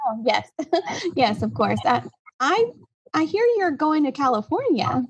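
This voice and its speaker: A woman's voice: high voice, big pitch swings, measured pace, heavily acted. A woman playing a polite, flustered mother in a radio drama, on a slightly dull recording.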